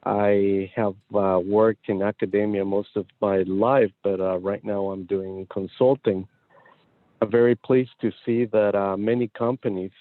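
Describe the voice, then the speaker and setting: A man from Mexico speaks in a low, gravelly voice, pausing often with "uh." His audio comes through a dry, tinny, phone-like video call line. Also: dry recording. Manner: hesitant, many "uh" pauses. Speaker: panelist, consultant. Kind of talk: self-introduction on a panel.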